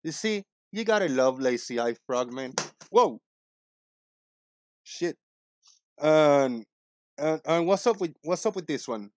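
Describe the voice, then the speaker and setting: A man in his forties hosting a casual livestream, talking in a loud, joking, rambling way. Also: a little high, expressive, slow, pauses often, dry recording. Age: 40s. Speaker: livestream host.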